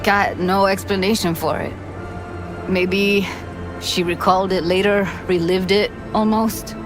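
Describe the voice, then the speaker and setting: An actress playing a grieving, guarded young tech expert in a video game, with slangy, clipped, weary phrasing. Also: female voice, medium pitch, steady tone, a little slow, natural voice, poor audio. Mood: somber, bitter. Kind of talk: naturalistic video game acting. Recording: game dialogue under clear background music.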